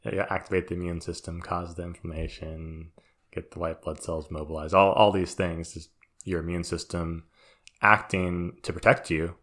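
A man's voice: low voice, flat delivery, moderate pace, a bit bright. A man co-hosting a podcast, reasoning aloud thoughtfully in casual chat. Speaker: podcast co-host.

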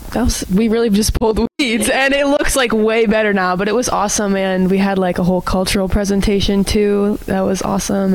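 A woman's voice: mid-range voice, a little mellow, rough recording. A young adult woman, a college athlete, talking casually and informally in a radio interview. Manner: informal, youthful phrasing. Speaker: college softball player.

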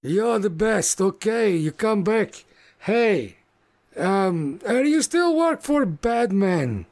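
Man doing a loud, jolly orc brute. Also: very animated, takes it slowly, no room sound, heavily acted. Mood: jovial. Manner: broken English, booming and blunt. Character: huge jovial male orc chef.

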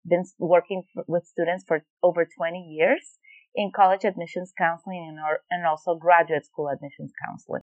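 A woman's voice: fairly low pitch, fairly even intonation, a little fast, darkish tone, dry recording, rough recording. Woman from Venezuela, explaining carefully. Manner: thoughtful, explanatory, with frequent fillers and restarts. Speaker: educational consultant guest. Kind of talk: podcast interview answers.

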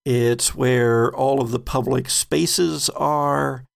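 A man narrating a tabletop game as game master, describing the scene in measured, deliberate phrases. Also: mid-range voice, fairly even intonation, gravelly.

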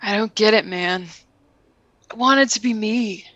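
A woman's voice: mid-range voice, measured pace, fairly bright tone, no room sound, muffled recording. A woman playing an envious, disgruntled student who craves heroism, dry and exasperated in an improvised comedy sketch.

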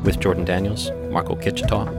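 Low-pitched man announcing over loud music. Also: little pitch movement, brisk, creaky. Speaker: announcer, sound designer. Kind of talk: scripted credits narration. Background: theme music.

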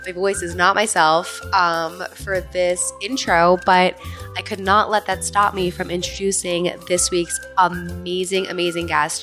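A woman's voice: mid-range voice, fairly bright tone. Woman, young adult, nasal from allergies, podcast host, effusive casual chat. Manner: bubbly, effusive. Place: on the floor next to her bed at home.

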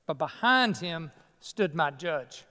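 A man's voice: on the high side, sing-song, slow, bright, forceful tone, big-room sound, full character voice. A man pastor playing the reformer Martin Luther in a sermon monologue, folksy and self-deprecating, with a Southern US accent. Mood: earnest. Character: Martin Luther, old German monk reformer, earnest. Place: church sanctuary.

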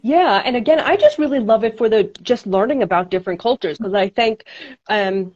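A woman's voice: a little high, brisk, a little mellow, lo-fi sound, slight background noise. A woman with a New York accent hosting a podcast, chatting casually with lots of fillers and restarts over a video call. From United States. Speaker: podcast host. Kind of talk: casual chat.

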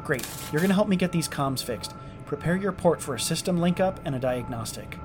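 A man's voice: on the high side, expressive, a little fast, music in the background, natural voice. A man playing a sardonic, irritable starship lieutenant stranded after a disaster, muttering in exasperation in a science fiction audio drama. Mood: exasperated, relieved. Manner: exasperated, muttering, hesitant asides. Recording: clearly noisy track with clicks.

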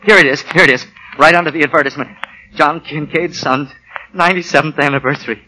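Nervous, high-voiced young husband. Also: male voice, quick pace, sharp tone. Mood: excited. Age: young adult. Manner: nervous, stammering. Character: anxious, excitable young husband and junior office worker. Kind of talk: 1940s radio acting. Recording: muffled, noisy 1940 radio broadcast.

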